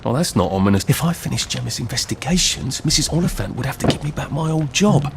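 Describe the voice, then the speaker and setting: A man's voice: medium pitch, animated, fast talker, vocal fry, noisy background. An actor playing a mild, curious young Englishman in a video game, muttering hesitantly and wryly to himself with an English accent. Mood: wry musing.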